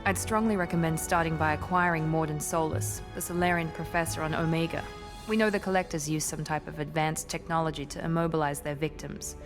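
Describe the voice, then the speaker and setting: A woman's voice: deep voice, steady tone, fairly quick, in a large hall, over music, lightly acted. A woman playing a poised, businesslike officer in a video game, briefing in a formal, confident manner. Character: poised, businesslike female officer advising her commander. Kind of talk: scripted video game dialogue.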